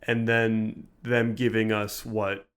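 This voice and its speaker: A man co-hosting a casual podcast, talking in reflective, halting phrases with wry asides. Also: lowish voice, little pitch movement, clean tone. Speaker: podcast co-host.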